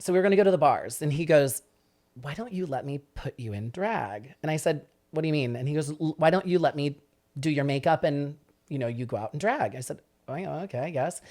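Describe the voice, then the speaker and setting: A man in his late thirties with a fairly high voice for a man, chatting as a podcast guest and telling his story with lots of quoted asides. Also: darkish tone. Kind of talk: casual interview chat.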